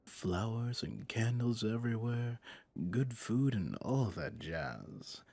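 A man playing a flirtatious, possessive mafia boss in an intimate ASMR roleplay, teasing and menacingly smooth, with a husky, breathy delivery. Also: expressive, warm tone, dry recording, full character voice. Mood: flirtatious, smug. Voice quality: low, husky, often dropping to a whisper. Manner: slow, teasing, intimate, with pet names. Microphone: close studio microphone, dry.

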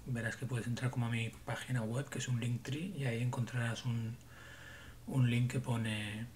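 A man's voice: mid-range voice, normal speed. Man, 40s, from Barcelona, casual livestream chat, rambling, muttering asides, faint background hiss. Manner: relaxed, rambling, trails off into muttering to himself. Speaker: livestream host. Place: small home room indoors.

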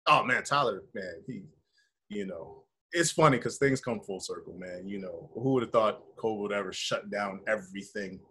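A middle-aged American man with a husky voice, talking informally as an interview guest on a video call. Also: lowish voice, normal speed, sharp tone. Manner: informal, conversational, peppers talk with "man" and "you know". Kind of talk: casual interview chat. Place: indoor room with bare wall, video call.

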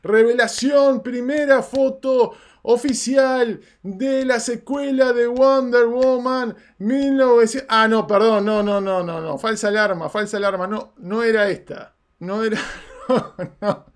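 A man's voice: very high-pitched, a little slow. A man hosting film news in a small studio, talking animatedly in casual commentary. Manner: animated, gestures a lot while talking. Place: small studio with red curtain backdrop.

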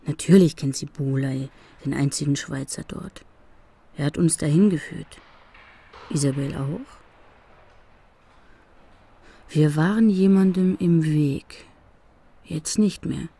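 A woman's voice: deep voice, unhurried, creaky, dark tone, breathy edge, no echo, full character voice. A woman acting as a guarded, determined policewoman in custody in a crime audio drama. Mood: tense, brooding.

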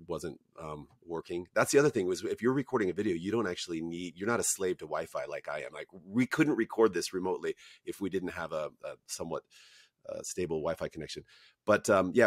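Older guy, really deep voice, chatty podcast host, headset mic in a hotel room, dry sound. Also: fast talker, no echo. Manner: chatty, rambling asides, many fillers. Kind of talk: conversational interview. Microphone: headset mic on video call.

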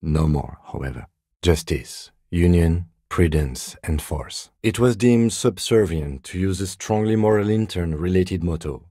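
A man acting a reserved, dutiful police detective in a video game, speaking in a measured, formal, deadpan way with dry courtesy. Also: low voice, husky, no room sound, exaggerated voice. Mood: measured, matter-of-fact. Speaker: voice actor.

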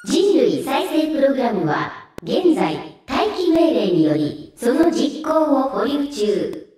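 A woman's voice: sits high, very animated, slow pace, mellow, heavily acted. A woman voicing an impassive computer system in a video game, reciting status in a formal announcement manner. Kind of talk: scripted Japanese video game cutscene. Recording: very echoey, distant system voice.

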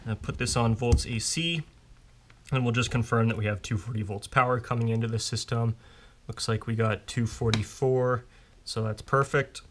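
A man's voice: medium pitch, a little hiss or hum. Man giving a hands-on demo. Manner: informal, explanatory, step-by-step. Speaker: technician instructor. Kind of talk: casual hands-on technical demonstration. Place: indoors at a workbench.

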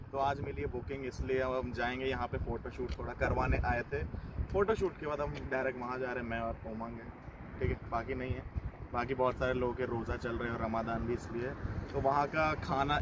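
A man's voice: medium pitch, background noise. A young man casually chatting to the camera while filming a food vlog in a busy restaurant. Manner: chatty, relaxed, talks to camera. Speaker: vlogger. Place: busy restaurant indoors, street outside earlier.

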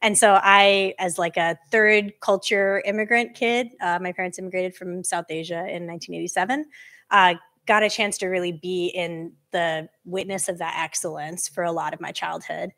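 A young woman from North Carolina speaking earnestly in long flowing sentences on a panel, with a loud teacher voice, into a handheld mic on a small stage before a live audience. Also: on the low side, steady tone, moderate pace. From Durham, North Carolina. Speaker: panel guest.

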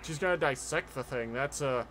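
A man's voice: mid-range voice, moderate pace, a bit bright, a little hiss or hum. A man chatting casually as he streams live reactions to game trailers.

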